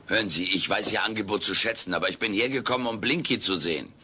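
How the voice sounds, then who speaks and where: An actor playing a sardonic, indignant furry alien in an audio play, with a dry, sarcastic delivery. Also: male voice, lowish voice, quick pace, pushed and bright. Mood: insistent. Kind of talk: German children's audio play acting. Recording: slightly noisy old cassette.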